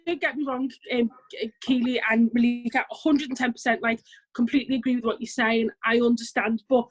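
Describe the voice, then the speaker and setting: Chatty woman, fairly high voice. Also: brisk, soft background music, rough recording. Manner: chatty, relaxed, asks others in. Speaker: podcast co-host. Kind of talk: casual panel chat.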